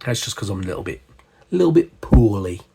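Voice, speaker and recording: A man hosting a hobby channel, hoarse and husky from a cold, chatting casually with lots of fillers and asides. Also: fairly low pitch, sing-song, fast, mellow. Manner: chatty, rambling, with frequent fillers. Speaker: miniature painting channel host. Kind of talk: casual hobby chat to camera.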